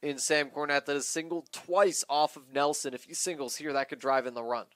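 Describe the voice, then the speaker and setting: A man's voice: on the high side, fairly even intonation, fairly quick, a bit bright, dry recording, clean studio sound. A man giving conversational live analysis as a baseball color commentator.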